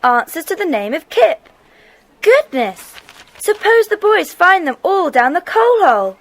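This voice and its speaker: An excitable, kind-hearted schoolgirl in a children's drama. She has a very high, animated voice and speaks earnestly, full of exclamation. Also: measured pace, heavily acted. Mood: excited discovery. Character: tender-hearted, excitable schoolgirl club member. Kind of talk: children's audio drama acting.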